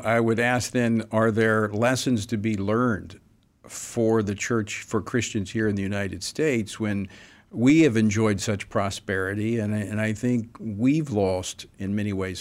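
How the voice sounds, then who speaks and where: A man hosting a broadcast interview, asking earnest, measured questions with small restarts. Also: on the low side, steady tone. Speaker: radio talk show host.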